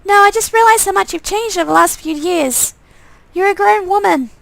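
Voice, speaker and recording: A woman's voice: very high voice, brisk, creaky, a bit bright, no echo, pitched above the performer's own voice, full character voice. A woman voicing a doting, unsettlingly cheerful middle-aged mother in a game, fussing sweetly and gushing fondly. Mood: wistful.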